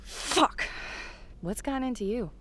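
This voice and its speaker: A woman's voice: a little high, expressive, measured pace, fairly bright tone. An actress playing an outspoken, sarcastic woman reporter in an audio drama. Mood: indignant, sarcastic. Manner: blunt, sarcastic.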